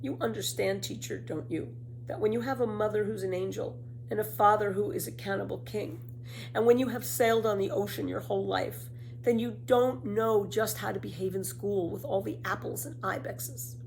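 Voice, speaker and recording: A woman's voice: mid-range voice, fairly even intonation, normal speed, gravelly, darkish tone, slight background noise, pitched above the performer's own voice, close to an everyday voice, rough recording. A woman voicing a cheeky, boastful young girl who spins tall tales in a children's storybook, with breezy, emphatic delivery. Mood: wistful. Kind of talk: children's storybook read aloud.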